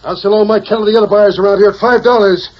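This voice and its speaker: An actor playing a hot-tempered, crooked cattle rancher, a blustering villain in a 1940s radio western. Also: male voice, high voice, steady tone, rapid-fire, natural voice. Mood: furious. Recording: dull, noisy 1940s radio recording.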